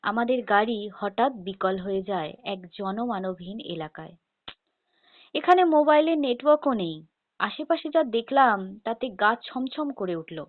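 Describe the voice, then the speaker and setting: A woman reading a scripted first-person ghost story in a measured, dramatic storytelling manner. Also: mid-range voice, animated, dry recording. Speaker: horror story narrator. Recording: tinny phone-like narrow band.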